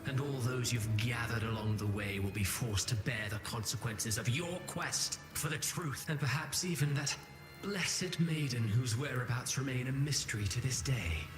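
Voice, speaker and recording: A man's voice: mid-range voice, normal speed, close to an everyday voice. A man voicing an ominous figure who taunts the heroes in a video game, with a menacing, mocking manner. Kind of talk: game voice acting. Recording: game audio, roomy echo, music behind.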